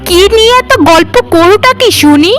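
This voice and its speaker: A woman's voice: very high voice, lively intonation, normal speed, fairly bright tone. A woman voicing a spoiled, suspicious young sister-in-law in a village cartoon, scolding and demanding in manner. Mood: bossy scolding. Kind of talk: village moral-story cartoon acting. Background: background music.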